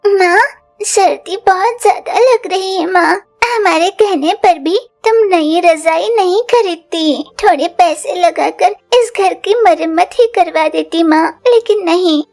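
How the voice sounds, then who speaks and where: A woman's voice: very high voice, slow pace, a little mellow, soft background music, exaggerated voice. A woman voicing a young bird daughter in a cartoon, pleading with her mother in the cold. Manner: pleading, complaining.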